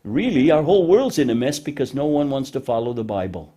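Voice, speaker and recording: A man's voice: mid-range voice, very animated, fairly quick, mellow. A man hosting a children's puppet show, talking in a folksy, instructive way as he gives simple moral lessons.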